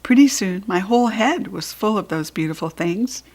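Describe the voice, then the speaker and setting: A woman with an American accent narrating a children's storybook expressively, with animated, dramatic emphasis. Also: mid-range voice, normal speed. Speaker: storybook reader.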